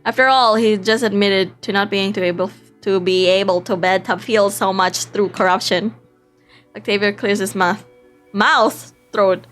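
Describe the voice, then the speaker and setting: A woman's voice: medium pitch, steady tone, moderate pace, a bit bright, faint music behind. A woman live-streaming a visual novel, reading it aloud expressively and playfully, breaking off into exclamations.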